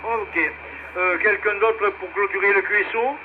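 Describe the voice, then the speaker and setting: Guy running a ham radio net, kinda stumbly, highish voice, thin crackly radio sound. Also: fairly even intonation, a little slow. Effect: two-way radio transmission. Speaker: amateur radio net operator. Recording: noisy narrowband ham radio relay.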